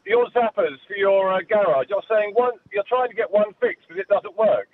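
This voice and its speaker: A male caller phones in to a radio show and gently mocks the host in a relaxed, cheeky way. His voice sits fairly high and comes through a thin, tinny phone line. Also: moderate pace, no echo. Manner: cheeky, teasing. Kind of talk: casual phone-in banter. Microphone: telephone.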